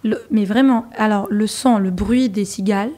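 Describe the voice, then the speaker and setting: A lively woman in her thirties, born and raised in Algeria, talking as an interview guest. She speaks in a mid-pitched voice, laughs easily and piles up fillers and restarts as she thinks aloud. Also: normal speed. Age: 30s. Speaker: guest, journalist and TV presenter. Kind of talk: casual interview talk.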